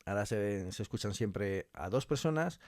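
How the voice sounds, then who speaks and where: Spanish guy from spain chatting in an interview, lowish voice, really dry sound, effusive and slangy, repeats stuff for emphasis. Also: expressive, moderate pace, a little mellow, no echo. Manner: effusive, colloquial, emphatic repetition. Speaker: interview guest, audio fiction scriptwriter. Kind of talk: casual conversational interview.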